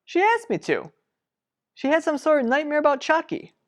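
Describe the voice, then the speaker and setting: An actress playing an earnest, protective sister in a radio soap drama, speaking deliberately and persuasively. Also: female voice, high-pitched, wildly swooping pitch. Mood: anxious, insistent. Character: earnest, protective sister pleading for a troubled couple. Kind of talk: revived vintage radio soap drama acting.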